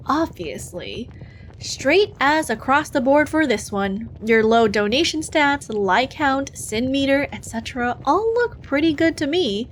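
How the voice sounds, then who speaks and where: A woman playing a brisk, bureaucratic female demon interviewing souls in hell, officious and dryly condescending, in a scripted ASMR roleplay. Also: on the high side, slight background noise, rough recording. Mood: appraising, intrigued.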